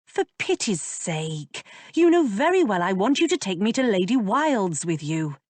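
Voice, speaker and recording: A woman voicing a quick-tempered, sharp-tongued young lady who speaks in a tart, exasperated way. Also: medium pitch, big pitch swings, no room sound, pitched above the performer's own voice.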